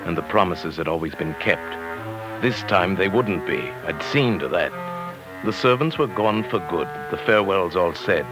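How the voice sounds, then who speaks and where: An actor playing a meek, henpecked British professor with murder in mind, hesitant, formal and mild in an old radio drama. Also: male voice, low-pitched, expressive, moderate pace. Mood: brooding narration. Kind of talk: 1940s radio acting. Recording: 1940s radio drama with background music.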